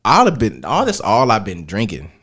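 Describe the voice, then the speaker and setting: A man in casual podcast banter, relaxed and joking. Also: fairly low pitch, moderate pace, slightly rough. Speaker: podcast co-host. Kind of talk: casual chat.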